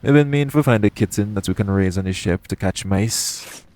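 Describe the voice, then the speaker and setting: A man playing a roguish, caring pirate captain in an intimate audio roleplay, speaking in a soothing, gently teasing manner. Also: on the low side, a little fast, lightly acted. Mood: teasing, playful.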